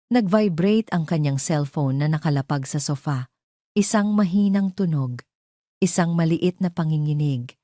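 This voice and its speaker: A woman's voice: sits low, mellow, dry recording, clean studio sound. A woman reading scripted narration for an audio drama.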